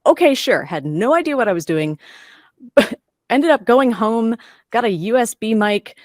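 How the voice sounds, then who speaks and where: A woman's voice: fairly high pitch, lively intonation, unhurried, darkish tone, dry recording, clean studio sound. A woman voice actor casually telling a personal story with a wry, self-amused manner. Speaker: voice actor, panel guest.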